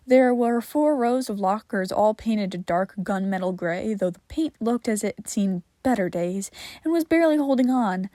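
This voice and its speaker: A woman's voice: mid-range voice, lively intonation, moderate pace, dark tone, no room sound, studio quality. A woman reading scripted fiction aloud as a narrator.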